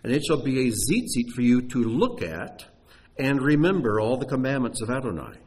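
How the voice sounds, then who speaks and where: Lively man teaching a sermon. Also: medium pitch, moderate pace. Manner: animated, emphatic teaching with sudden drops to near-hushed voice.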